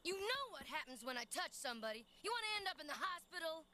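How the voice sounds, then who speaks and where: An actress playing a tough, sassy young superheroine in an animated series, with a brash drawl. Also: female voice, high voice, fast talker, a little hiss or hum, full character voice. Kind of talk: 1990s animated superhero cartoon acting. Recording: loud music behind cartoon dialogue.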